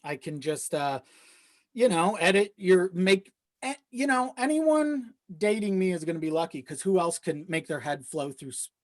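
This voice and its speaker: A man's voice: sits high, sing-song, normal speed, dry recording. Casual male podcast guest, dry close sound. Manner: self-deprecating; casual, profane. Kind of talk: casual chat.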